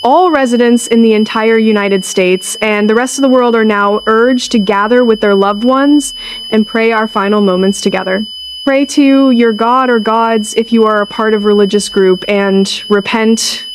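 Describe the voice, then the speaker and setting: A woman playing a solemn government announcer, reading a scripted doomsday emergency broadcast in a formal, measured way. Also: on the high side, steady tone, noisy background, close to an everyday voice. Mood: solemn, grave.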